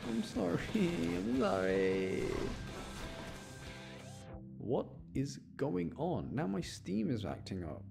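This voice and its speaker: A man's voice: a little high, wildly swooping pitch, takes it slowly, gravelly, long pauses, over loud music. A man doing casual live game commentary for his chat, rambling and thinking aloud as he plays. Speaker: game streamer.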